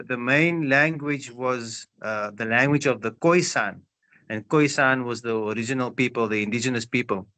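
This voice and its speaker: A middle-aged man from South Africa, answering interview questions in a measured, explanatory way and often repeating phrases. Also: medium pitch, bright, forceful tone.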